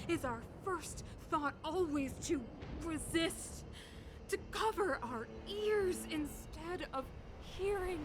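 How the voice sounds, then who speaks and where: A woman's voice: high-pitched, full character voice. A woman playing an imperious ruler turned zealous villain in a video game, speaking in solemn, grandiose oratory with theatrical persuasion. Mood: scornful, impassioned. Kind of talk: dramatic video game voice acting.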